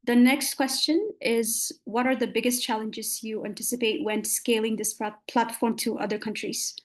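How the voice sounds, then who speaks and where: A woman's voice: on the high side, fairly even intonation, normal speed. A woman moderating a webinar, reading audience questions out over a video call.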